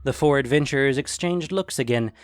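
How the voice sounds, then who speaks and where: A man's voice: medium pitch, fairly quick, dry recording, soft background music. A man reading scripted fantasy fiction as a dramatic narrator.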